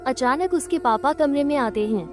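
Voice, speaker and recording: High-voiced woman narrating a storybook. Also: normal speed, over music. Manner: even, simple storytelling.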